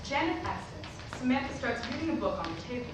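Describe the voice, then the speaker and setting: Woman, stage directions narration, lively intonation, fairly high voice, distant noisy hall recording. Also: fast. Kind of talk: scripted narration at a staged reading.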